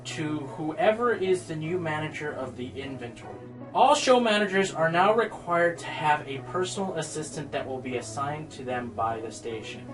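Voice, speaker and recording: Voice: mid-range voice, animated, moderate pace, over music, close to an everyday voice, poor audio. An actor playing a grumpy, sarcastic pony who manages a radio show, exasperated and sardonic, in a radio play. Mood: impatient. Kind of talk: amateur radio play acting.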